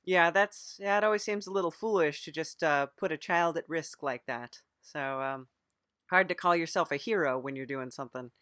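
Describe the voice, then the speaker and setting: A woman's voice: low-pitched, normal speed, a bit bright, no echo, clean studio sound. A woman hosting an improvised mock interview, agreeable and a little hesitant, playing it straight in casual conversation. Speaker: podcast interviewer.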